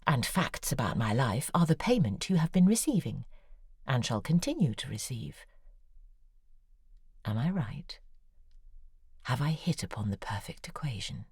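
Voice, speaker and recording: A woman's voice: a bass voice, big pitch swings, measured pace, pauses often, a little mellow, husky, no room sound, clean studio sound, exaggerated voice. An actress playing a worldly, sardonic woman novelist, talking in an intimate, confiding and drily ironic way. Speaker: novelist writing letters. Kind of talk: audio drama monologue.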